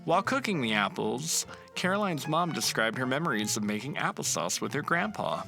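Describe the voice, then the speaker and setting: Expressive man reading a children's book. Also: mid-range voice, a little fast, vocal fry, over music. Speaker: narrator reading a picture book. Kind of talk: storybook read-aloud.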